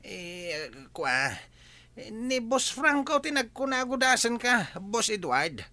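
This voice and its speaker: Radio-drama henchman: nervous, stammering, piercingly bright and swooping in pitch. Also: very animated, slow pace, no echo, full character voice. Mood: pleading. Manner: stammering, pleading, hesitant. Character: nervous, pleading male henchman of a crime boss.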